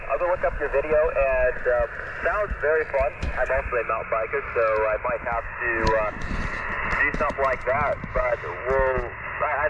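Man, mid pitch, relaxed and rambling, muffled radio with heavy static. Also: far from the mic. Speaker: ham radio contact. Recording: dull, noisy radio reception. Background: loud radio static.

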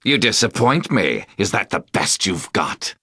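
A man's voice: low voice, sing-song, unhurried, bright, forceful tone, husky, dry recording, studio quality, exaggerated voice. An actor playing a haughty, ruthless supervillain in a video game, sneering and menacing as he hunts his enemy. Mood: cold disdain. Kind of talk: video game villain acting.

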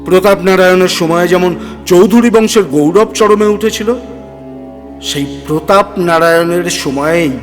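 A man with a high-pitched voice narrates a dramatic story in a measured, literary way. His voice carries a long reverb, and music plays underneath. The delivery is theatrical and scripted.

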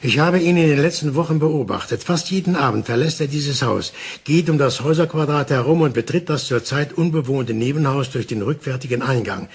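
An actor playing an older male detective in a German crime radio play, calm, insistent and explaining things deliberately with dramatic pauses. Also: mid-range voice, slightly rough. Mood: grave, insistent.

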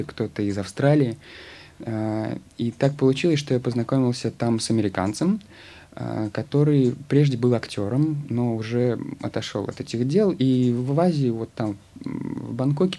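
A man's voice: medium pitch, dark tone. A man in his thirties, an actor interviewed in a studio, talking at length with many hesitations. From Russia. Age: 30s. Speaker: guest actor. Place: studio with logo backdrop. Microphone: studio mic on stand.

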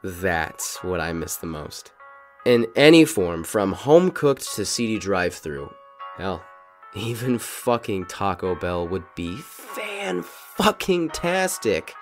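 A man's voice: low-pitched, very animated, slow pace, faint music behind. A young adult man, a voice actor, delivering dramatic scripted narration.

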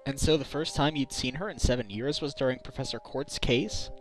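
Man as earnest defense lawyer, mid-pitched, caring, inquisitive, video game fan dub, music underneath. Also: fairly quick, close to an everyday voice, rough recording. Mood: curious.

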